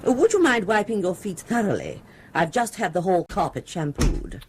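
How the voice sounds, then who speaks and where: A woman's voice: mid-range voice, sing-song, normal speed, noisy background, natural voice. A woman acting a prim, fussy middle-aged housewife in a radio drama, speaking formally and precisely. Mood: guarded, fussy.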